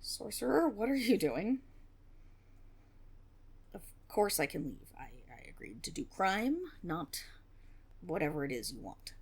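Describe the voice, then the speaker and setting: A woman's voice: fairly low pitch, big pitch swings, a little slow, pauses often, slightly rough, full character voice. A woman playing a flustered young tiefling rogue in a fantasy audio roleplay, stammering and blustering, cocky on the surface but unsure underneath. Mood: guarded, wounded. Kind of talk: fantasy roleplay audio drama, one-sided dialogue.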